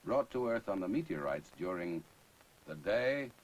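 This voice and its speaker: A man acting as a grave science narrator in a 1960s sci-fi film, with formal, measured, portentous scripted delivery. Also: deep voice, big pitch swings. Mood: grave, ominous. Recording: noisy early 1960s film soundtrack.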